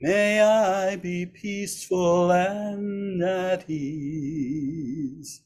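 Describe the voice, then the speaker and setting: A man with a raspy, hoarse voice leading a Buddhist service online, slowly intoning chants and gentle instructions. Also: sits high, fairly even intonation, soft background music. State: hoarse after surgery. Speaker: Buddhist teacher leading practice. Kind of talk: leading a chanted metta practice.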